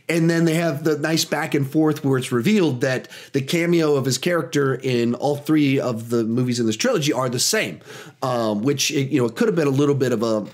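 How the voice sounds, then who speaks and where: A man in his thirties reviewing a film in a small home studio, animated and a little hoarse from a cold. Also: mid-range voice. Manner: animated, gestures a lot, talks in quick bursts. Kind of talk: energetic spoiler movie review.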